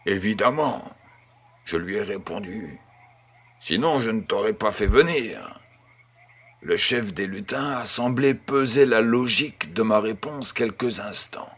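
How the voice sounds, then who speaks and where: A man playing a kindly grandfather who tells a bedtime tale in a measured, gentle, husky voice. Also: lowish voice, lively intonation, full character voice. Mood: storytelling, wondering. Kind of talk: scripted audio storytelling.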